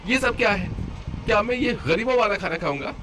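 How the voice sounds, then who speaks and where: A man's voice: high voice, lively intonation, brisk, bright, forceful tone. A man acts out a short-tempered, entitled son-in-law from a cartoon story. He complains and sneers with whining sarcasm. Noticeable noise sits behind the voice. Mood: angry. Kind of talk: Hindi cartoon story dialogue. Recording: clear background noise under voice.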